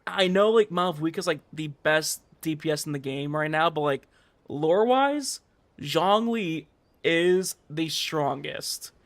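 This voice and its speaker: Higher-voiced guy rambling casually on a game stream. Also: measured pace, no room sound. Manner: rambling, informal gamer slang. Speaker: livestream host.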